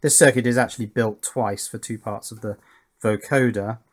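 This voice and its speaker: A man's voice: lowish voice, steady tone, moderate pace. A man giving a casual, hands-on technical explanation in an informal, methodical way, as a tutorial host. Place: indoors at a workbench.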